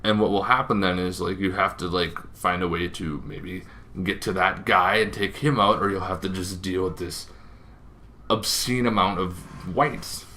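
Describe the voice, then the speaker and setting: A man hosting a casual explainer on tabletop roleplaying lore, talking informally and haltingly with frequent asides. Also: low voice, steady tone, bright, forceful tone, a little hiss or hum. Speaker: tabletop gaming video host.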